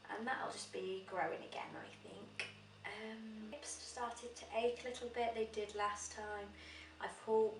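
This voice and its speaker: A young woman with a slightly croaky voice talks casually and matter-of-factly, filling pauses with "um". She is recorded by a camera mic a little way off in an echoey room, with a faint hum of ambience behind her. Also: a little high, pushed and bright, distant mic. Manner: chatty, frequent "um" fillers, matter-of-fact. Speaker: vlogger. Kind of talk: casual pregnancy diary vlog.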